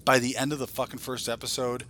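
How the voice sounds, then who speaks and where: A man's voice: mid-range voice, little pitch movement, fast talker, bright, forceful tone, slight background noise. A man in his forties hosting a podcast with casual, swearing banter and a blunt, joking manner. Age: 40s. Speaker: podcast host.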